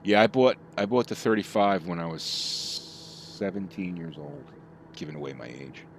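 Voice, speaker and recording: A man's voice: deep voice, normal speed, background noise. A middle-aged man chatting casually with his live chat while he plays, rambling from topic to topic. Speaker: streamer.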